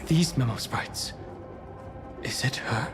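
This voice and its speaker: A man's voice: mid-range voice, slow pace, music in the background, natural voice. A male voice actor playing a stern, proud, distrustful lord in a video game, speaking in a terse, controlled, accusing way. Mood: suspicious. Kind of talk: scripted video game dialogue.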